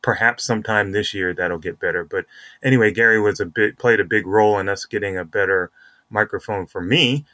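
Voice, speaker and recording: A man's voice: on the low side, fairly quick, sharp tone, no room sound. A middle-aged man hosting a casual sports podcast, chatting and introducing interviews. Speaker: podcast host.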